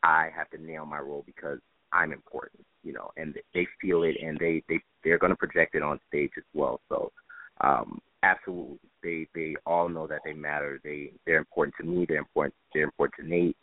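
A man's voice: rumbling low, steady tone, a little fast. A man being interviewed as a stage director, speaking conversationally and earnestly, repeating phrases for emphasis. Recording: tinny band-limited phone line, roomy echo.

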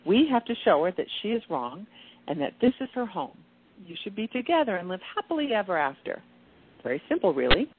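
A woman playing a serene, knowing angel guide in a radio play, speaking with confident, measured emphasis. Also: mid-range voice, slight background noise, natural voice. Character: serene, knowing female angel guide in kittenish human guise. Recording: tinny, band-limited phone-like sound.

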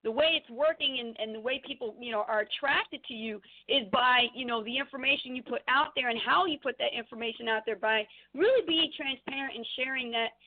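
A woman's voice: fairly high pitch, brisk, few pauses. A woman from Trenton, New Jersey, a guest on a radio interview, chatting upbeat and laughing often. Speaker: guest, blogging consultant. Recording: tinny phone line.

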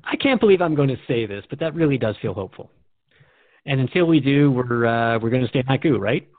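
A man's voice: medium pitch, expressive, fast, dry recording. A man speaking as a radio host in a conversational drama dialogue, reflective and earnest. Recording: dry, narrow phone-like sound.

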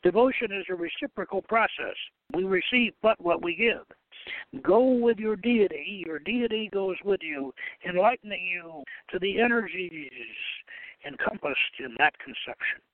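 High-pitched man reading aloud on radio. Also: expressive, unhurried, dry recording, phone-quality sound. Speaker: radio show host reading and reviewing a book. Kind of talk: reading aloud from a book with spoken asides.

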